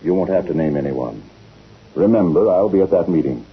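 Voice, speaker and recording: A narrow, vintage radio sound frames a corrupt politician with a very low voice. He is curt, domineering and scheming, delivered in 1940s radio drama style. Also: animated, fairly quick. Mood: coolly controlling. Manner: commanding, curt. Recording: old narrow-band radio recording.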